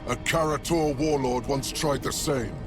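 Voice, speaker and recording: An actor voicing a savage, contract-bound devil beast in a video game, menacing, boastful and theatrical, swinging from hiss to roar. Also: male voice, mid-range voice, measured pace, over music, natural voice. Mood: menacing, brooding.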